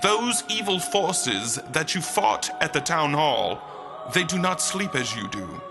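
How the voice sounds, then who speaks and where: A man playing a holy being of light in a comic audio drama, booming and declamatory, with flippant, teasing asides. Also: sing-song, slow, creaky, full character voice. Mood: solemn warning. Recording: music behind the voice.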